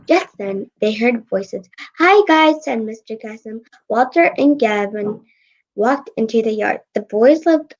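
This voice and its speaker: A woman's voice: a little high, a little mellow. Young girl reading aloud, dull lo-fi audio. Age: child, 8 years old. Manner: stumbles and repeats phrases while reading. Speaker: young guest co-reader.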